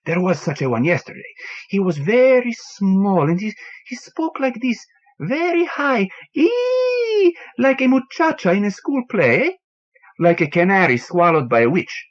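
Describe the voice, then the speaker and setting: A man voicing a grieving, excitable Cuban male bartender who exclaims in a high, breaking voice. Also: measured pace. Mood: excited. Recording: dull lo-fi audio.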